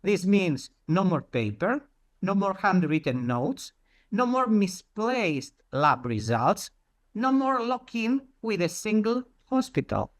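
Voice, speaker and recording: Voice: mid-range voice, very animated, takes it slowly. A presenter giving an explanatory talk in a didactic way, posing questions and then answering them.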